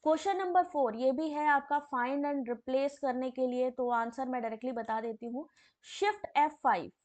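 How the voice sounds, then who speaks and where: Hoarse high-voiced woman teaching live. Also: normal speed, a little mellow. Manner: chatty, addresses viewers by name. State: hoarse, sore throat. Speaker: online exam-prep teacher. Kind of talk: live online class, answering chat.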